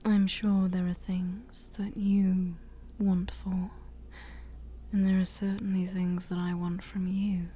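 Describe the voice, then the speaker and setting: Ancient forest demon princess, played by a woman: haughty and sly, mellow near-whisper, unhurried ASMR roleplay delivery. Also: medium pitch, little pitch movement, slight background noise, lightly acted. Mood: coaxing, sly. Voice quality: breathy, often near-whispered. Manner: slow, teasing, haughty, theatrical. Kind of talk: soft-spoken ASMR roleplay.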